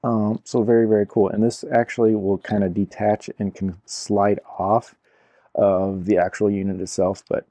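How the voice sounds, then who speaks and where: A man's voice: fairly low pitch, little pitch movement, normal speed, warm tone, no room sound. A man giving a casual hands-on product review in an informal, explanatory way, repeating himself as he goes. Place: indoor room at a cluttered computer desk.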